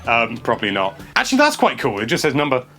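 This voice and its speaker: Excitable young man commenting gameplay. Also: mid-range voice, quick pace, soft background music. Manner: excitable and chatty, stumbles over words, laughs often. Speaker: games journalist host. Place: small indoor room.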